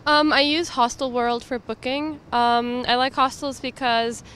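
A young woman answers a street interviewer in a somewhat high voice, thoughtful and hesitant. Faint traffic noise sits behind her handheld mic. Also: fairly even intonation, unhurried, a bit bright. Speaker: passerby interviewee. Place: city street outdoors. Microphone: handheld mic held by interviewer.

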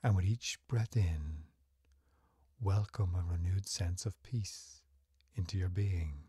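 A man leads a guided meditation in a low, mellow voice that is heavily breathy and often close to a whisper. His delivery is slow, gentle and soothing, with unhurried phrasing throughout. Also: no echo.

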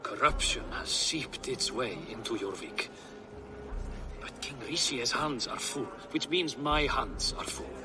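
A man's voice: medium pitch, expressive, measured pace, a crowd in the background, close to an everyday voice. Cautious older Viking lord played by a man. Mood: troubled. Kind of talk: video game cutscene acting.

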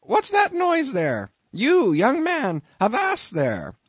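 A man voicing a bustling middle-aged landlady who scolds and frets in a shrill, exclamatory way. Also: huge pitch swings, slow pace, husky, no room sound, studio quality, full character voice. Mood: frantic.

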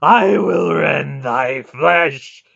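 A man voicing a vast, grieving and vengeful god with loud, archaic, menacing speech in a storybook reading. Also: medium pitch, takes it slowly, vocal fry, lo-fi sound. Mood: raging. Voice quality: loud, roaring, howling.

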